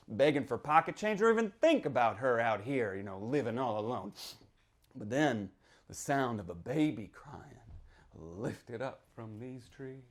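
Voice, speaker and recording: Male reader as rueful, haunted small-town yarn-spinner. Mid-pitched, folksy, halting, theatrical live-story monologue with swings from hushed to emphatic. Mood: wry, emphatic, then hushed, eerie. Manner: folksy, halting, rhetorical asides, swings between hush and outburst. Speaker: author reading from his novel. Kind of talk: theatrical dramatic reading, first-person monologue.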